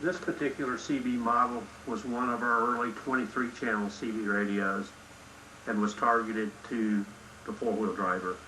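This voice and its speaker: An American man giving matter-of-fact, measured explanations as an interviewed company spokesman. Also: lowish voice, fairly even intonation. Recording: roomy interview with clear background noise.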